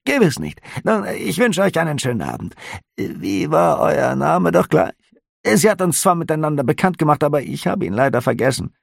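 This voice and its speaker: A man's voice: a little high, sing-song, normal speed, creaky, no echo, clean studio sound, pitched above the performer's own voice, exaggerated voice. A man voicing a nervous, prying middle-aged innkeeper who talks in a hasty, giggly, placating way.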